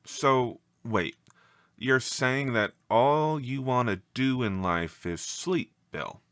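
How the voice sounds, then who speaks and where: A man's voice: medium pitch, expressive, takes it slowly, fairly bright tone, dry recording, voice pushed up. Man as an earnest, emphatic schoolteacher. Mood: exasperated. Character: earnest male schoolteacher addressing his class. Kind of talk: storybook character voice.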